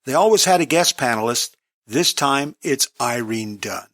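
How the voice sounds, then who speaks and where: A man's voice: medium pitch, animated, normal speed, a bit bright, dry recording, clean studio sound. A man hosting a radio program, introducing episodes in a presenter's style. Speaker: radio show host.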